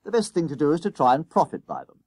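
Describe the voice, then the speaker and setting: A man voicing a kindly, calm schoolmaster, measured, courteous and gently wry, in an audiobook story. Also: fairly high pitch, no room sound, pitched above the performer's own voice. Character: kindly, polite, calm middle-aged schoolmaster.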